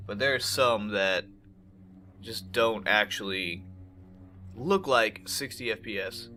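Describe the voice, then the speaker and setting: A man's voice: medium pitch, bright, forceful tone, a little hiss or hum. A young man with a congested voice, swearing and joking casually as he plays a game. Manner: profane, joking, talks back to the game. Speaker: gameplay commentator. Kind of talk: casual let's-play commentary.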